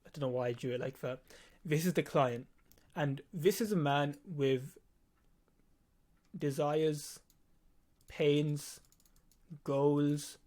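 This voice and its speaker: A man's voice: a little high, fairly even intonation, takes it slowly, pauses often, darkish tone. A nineteen-year-old man with a lisp, explaining things to viewers in a blunt, informal way. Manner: blunt, casual, swearing, emphatic repetition. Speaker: video editor teaching on own channel.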